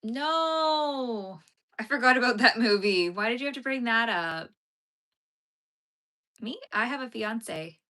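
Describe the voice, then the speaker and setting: A woman's voice: a little high, animated, a little slow, long pauses, pushed and bright. Chatty young woman streaming while she crochets. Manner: chatty, laughs often, filler-heavy. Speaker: streamer reading chat. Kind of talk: casual live-stream chat while crocheting. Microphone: phone streaming vertically.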